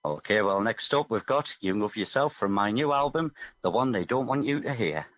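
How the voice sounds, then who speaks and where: A man's voice: fairly low pitch, lively intonation, normal speed, fairly bright tone, muffled recording. A man chatting casually as a musician guest in an interview, explaining things modestly and a little hesitantly. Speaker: musician guest, singer-songwriter.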